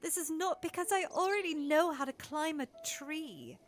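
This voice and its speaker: A woman's voice: high-pitched, normal speed, slight background noise, lightly acted. A woman playing a proud, stubborn young princess in an audio drama, speaking in formal, indignant phrases. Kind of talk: scripted audio drama acting.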